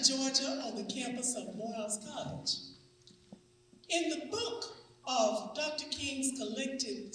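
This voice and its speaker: A woman presenter delivering a formal, ceremonial introduction in a measured, solemn, oratorical manner. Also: fairly high pitch, a bit bright, slight background noise. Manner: measured, solemn, deliberate phrasing. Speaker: presenter introducing a readers theatre program. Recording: echoey room, distant mic.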